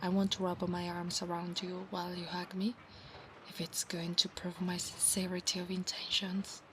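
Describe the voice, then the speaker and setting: A woman's voice: lowish voice, little pitch movement, moderate pace, background noise, lightly acted. A woman with an Italian accent voicing a proud, bossy female centaur knight in an ASMR roleplay, with formal, old-fashioned, commanding phrasing. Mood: soft, intimate. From Italy.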